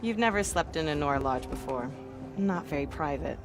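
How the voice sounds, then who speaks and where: A calm, welcoming tribeswoman from a fantasy game. Her voice is slightly low and moves expressively as she gently reassures, with music clearly behind her. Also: a little fast. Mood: reassuring. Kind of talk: video game cutscene acting.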